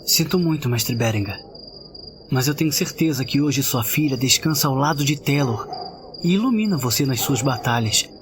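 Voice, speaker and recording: An actor playing an earnest, naive young paladin apprentice in a fantasy audio drama, respectful and a little hesitant. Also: male voice, mid-range voice, animated, normal speed, noisy background, close to an everyday voice. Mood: respectful, sympathetic.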